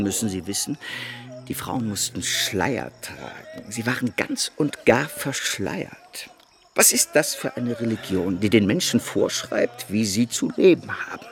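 Wildly swooping, lecherous missionary preacher. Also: male voice, on the low side. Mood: indignant preaching. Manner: rambling, fawning, self-satisfied, with rhetorical questions. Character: eccentric, lecherous male missionary preacher living in the wilderness. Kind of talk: radio play dialogue.

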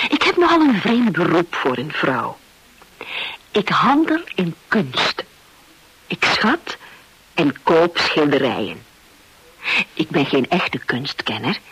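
A self-possessed lady telling her story in a radio drama. Her voice is very husky and expressive. She takes her time, confiding candidly in the listener. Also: lowish voice, heavily acted, rough recording. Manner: confiding, deliberate. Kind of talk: first-person radio play narration.

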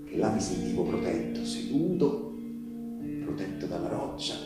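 Wistful low-voiced partisan, deep echo. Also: male voice, sing-song. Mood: nostalgic, hushed. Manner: halting, confiding, with drawn-out phrases and repetitions. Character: wistful male Italian Resistance partisan recalling the war. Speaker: stage actor performing a monologue. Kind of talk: theatrical narrative monologue, intimate and colloquial. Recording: very echoey, music playing behind the voice. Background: live guitar music.

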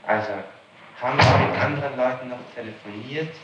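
A man working as a public prosecutor, questioning formally and reading out testimony in a deliberate, official manner. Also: mid-range voice, lo-fi sound. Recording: noisy echoey archival tape recording.

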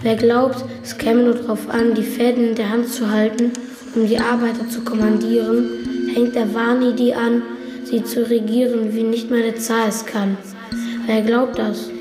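A woman's voice: on the high side, level tone, moderate pace, warm tone, natural voice. A woman playing an earnest, questioning young son in a radio drama. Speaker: actor in radio play. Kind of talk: German radio drama acting. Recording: reverberant hall, music behind.

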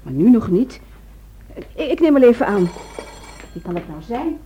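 A woman's voice: on the high side, very animated, moderate pace, mellow. A woman acting in a radio play as a caring, anxious wife, attentive and sometimes hesitant. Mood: flustered, anxious. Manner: attentive, hesitant when flustered. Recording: muffled, noisy old radio recording.

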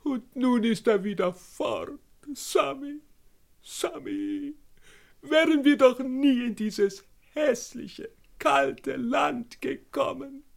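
An actor playing a grandiose, easily wounded maharaja in a radio play, with theatrical lamenting. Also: male voice, extremely high pitch, slow, gravelly, full character voice. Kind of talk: German radio play acting.

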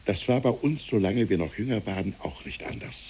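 A man playing a kindly, reflective village pastor in a literary radio play, speaking in a measured, gently formal way. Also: lowish voice, lively intonation, slight background noise. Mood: mild, musing.

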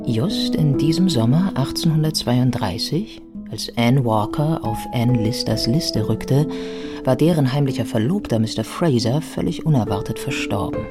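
A male narrator reads scripted documentary prose for a radio drama. His mid-range voice is measured and literary, and music plays clearly behind him. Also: medium pitch.

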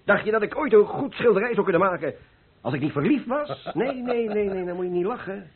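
An actor playing a cheerful, carefree older painter who philosophizes persuasively in a radio play. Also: male voice, high voice, expressive, fairly quick, slightly rough. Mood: playful, passionate. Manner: exuberant, persuasive, rhetorical. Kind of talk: Dutch radio play acting. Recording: muffled old radio play recording.

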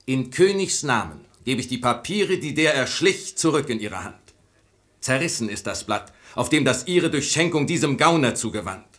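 Man acting a commanding royal officer, animated. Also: medium pitch, moderate pace, natural voice. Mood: solemn, reassuring. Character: stern, authoritative royal officer, magnanimous to the innocent. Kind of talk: radio play acting in verse.

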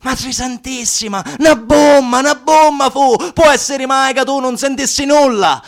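An actor playing an alarmed train driver, exclaiming in horror in a radio drama. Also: male voice, extremely high pitch, moderate pace, voice pushed up. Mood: horrified, furious. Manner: shouting, exclamatory.

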